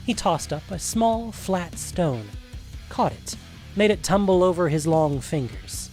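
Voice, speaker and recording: A man's voice: sits high, animated, unhurried, a little mellow, faint music behind. A man reading scripted narration for a fantasy adventure story.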